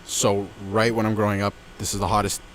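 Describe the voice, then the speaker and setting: A man's voice: on the low side, little pitch movement, fairly quick, slight background noise, low-quality recording. A man in his twenties co-hosting a casual podcast, rambling with many fillers and restarts, recorded outdoors under a backyard gazebo. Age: 20s. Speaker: podcast co-host. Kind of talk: casual chat.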